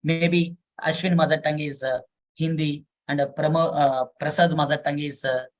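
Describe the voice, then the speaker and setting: A man with an Indian English accent, a native Telugu speaker, giving a live online software lesson, repeating phrases and using many fillers. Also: a little high, steady tone, moderate pace. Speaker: software trainer.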